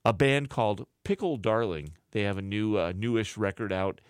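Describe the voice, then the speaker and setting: A man working as a college radio DJ, reading a public service notice and introducing songs in a casual, unhurried way with frequent "uh" fillers. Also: on the low side, animated, clean tone, no room sound, clean studio sound. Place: radio studio.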